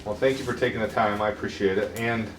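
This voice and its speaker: A man's voice: lowish voice, steady tone, fast, fairly bright tone, far from the mic, soft background music. A male podcast host asking questions and chatting in a friendly way while getting a haircut in a barber shop. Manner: friendly, affirming, brief replies. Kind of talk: interview conversation. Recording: roomy sound from off-mic.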